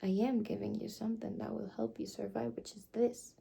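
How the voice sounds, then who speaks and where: A woman doing an ASMR roleplay, soft-spoken and partly whispered, explaining things in a halting, rambling way with many fillers. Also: on the high side, level tone, creaky, breathy edge. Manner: slips between whisper and soft voice. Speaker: ASMR creator.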